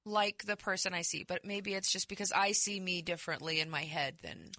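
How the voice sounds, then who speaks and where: Dry-witted woman co-host, bright voice. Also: on the low side, lively intonation, brisk, dry recording. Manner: dry, self-deprecating humor. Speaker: co-host, host's wife. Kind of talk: casual on-air chat. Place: radio studio with cameras. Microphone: studio microphone.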